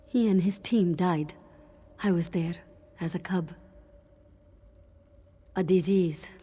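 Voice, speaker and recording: A woman playing a young cat-woman mystic in a fantasy game, earnest and reflective, sometimes halting. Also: lowish voice, dark tone, breathy edge. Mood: somber, reflective. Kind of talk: dramatic fantasy game acting.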